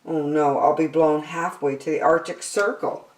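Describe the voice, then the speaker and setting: A woman's voice: low voice, fairly even intonation, moderate pace, lightly acted. A woman voicing a mild, earnest, long-suffering pet dog thinking aloud in a musing, understated way. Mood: worried. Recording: echoey room.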